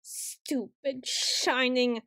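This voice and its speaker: A woman's voice: sits high, fairly even intonation, drawn-out words, fairly bright tone, no room sound, full character voice. A woman playing a haughty, petulant ancient female vampire in a scripted audio roleplay, speaking in a theatrical, imperious and archly formal way. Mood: flustered, imperious. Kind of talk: scripted ASMR roleplay monologue.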